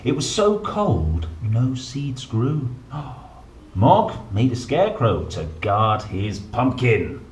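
A man in his thirties reading a picture book to young children, playful and expressive, with chatty asides. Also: mid-range voice, slow. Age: 30s. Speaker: storyteller, host reading children's books. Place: indoor room, seated at a table. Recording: roomy echo, some background noise.

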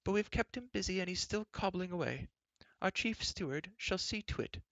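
A man's voice: high-pitched, lively intonation, vocal fry, a bit bright, dry recording, voice pushed up, full character voice. A man voicing a stout, fussy queen who chatters and scolds in a storybook reading. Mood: fussy scolding. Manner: scolding, brisk and bossy. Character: fussy bossy chattering stout queen, middle-aged woman.

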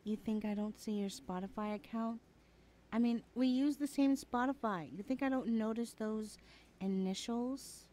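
A woman's voice: a little high, moderate pace, warm tone, close to an everyday voice. A woman playing a chatty, teasing young adult best friend in a casual, hesitant ASMR roleplay. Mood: coaxing, teasing. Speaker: voice actor in audio roleplay. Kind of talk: ASMR roleplay, chatty one-sided conversation.

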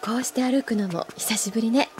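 Woman playing an elegant high, mellow-voiced senior schoolgirl. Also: fairly quick, natural voice. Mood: composed. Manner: poised, refined, ladylike. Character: elegant, composed older schoolgirl, refined ojousama. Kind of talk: anime audio drama acting.

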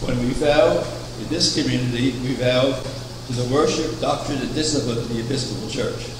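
An older man preaching a sermon from a pulpit, measured and anecdotal, asking rhetorical questions. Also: medium pitch. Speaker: preacher, founder of a religious order. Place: chapel, at a wooden pulpit. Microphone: far camera. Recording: echoey chapel from far off, air conditioning hum.

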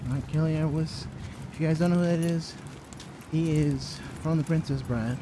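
Young american guy vlogging on his phone, kinda high voice, noisy public background. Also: fairly even intonation, moderate pace. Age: young adult. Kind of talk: casual vlog chat. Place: outdoors and busy convention hall. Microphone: phone at arm's length.

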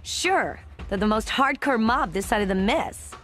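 A woman's voice: fairly high pitch, lively intonation, unhurried, a bit bright, soft background music. A woman playing a cocky, streetwise young bodyguard with a punchy manner in an action video game. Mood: tough. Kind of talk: video game cutscene voice acting.

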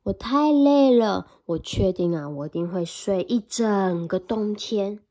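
A woman voicing a sleepy, lazy male bear in a picture-book read-aloud, speaking in a slow, drowsy, yawning drawl. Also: medium pitch, expressive, mellow, muffled recording, voice pushed down.

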